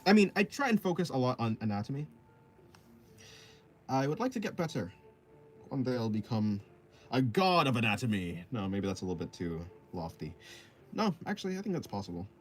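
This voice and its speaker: A man's voice: mid-range voice, big pitch swings, a little fast, pauses often, a little hiss or hum. A man of twenty, a live-streaming artist, chatting casually with his viewers and answering their comments while he draws. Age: 20s. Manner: laid-back, reads chat aloud and answers. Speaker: art streamer.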